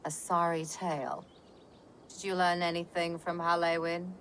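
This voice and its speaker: A grave pagan witch guiding a traveler in a game drama. Her voice is low and solemn, with deliberate, knowing phrasing. Hoofbeats and outdoor sounds are clear behind her. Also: level tone, fairly bright tone, slight background noise. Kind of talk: video game drama acting. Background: horse hooves and outdoor ambience.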